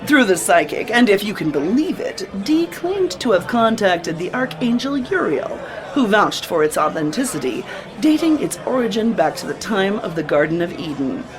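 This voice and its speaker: A woman's voice: mid-range voice, sing-song, moderate pace, a crowd in the background, close to an everyday voice. An actress playing a flirtatious, dramatic woman who runs an occult bookstore in an audio drama. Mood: mysterious, storytelling.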